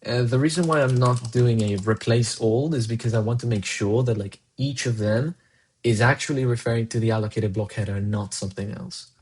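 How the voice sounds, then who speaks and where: A man's voice: mid-range voice, brisk, darkish tone, slight background noise. Man thinking aloud while coding. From Colombia. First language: Spanish. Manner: muses aloud, answers chat between tasks. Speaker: programmer streaming live coding.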